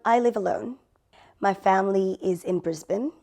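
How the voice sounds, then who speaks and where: A woman's voice: medium pitch, moderate pace, gravelly, warm tone, close to an everyday voice. A young woman playing a posh, vain young woman who calls herself a star, haughty and theatrical. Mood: self-satisfied. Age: 20s.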